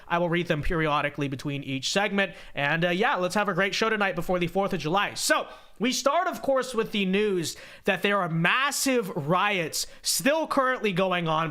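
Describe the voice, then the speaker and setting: A young man hosts a live-stream commentary show in a high, bright voice that sounds congested. He talks in an animated, energetic way and often stumbles into quick repeated restarts. He speaks in an upbeat way to his viewers. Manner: animated, talkative, frequent stammered restarts. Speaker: host.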